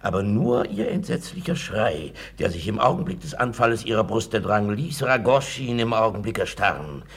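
Raspy, fawning schemer. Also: male voice, on the low side, sing-song, normal speed, hardly any pauses, full character voice. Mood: servile, insistent. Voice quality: gravelly, husky. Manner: servile, wordy, theatrically insinuating. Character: fawning, gossipy, obsequious host and schemer. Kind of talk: German radio play acting.

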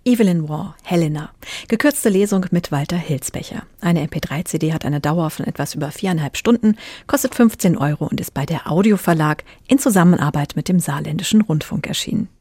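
A woman's voice: deep voice, brisk, a little mellow, breathy edge, no echo. A woman presenting an audiobook review programme as host, in a scripted style. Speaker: radio host.

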